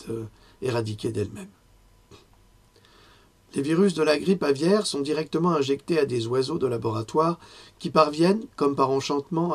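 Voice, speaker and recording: Hoarse male lecturer, husky throat, chatty delivery full of pauses and self-corrections, mid-range voice. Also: medium pitch. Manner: conversational, with frequent fillers and self-corrections. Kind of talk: health lecture with personal asides.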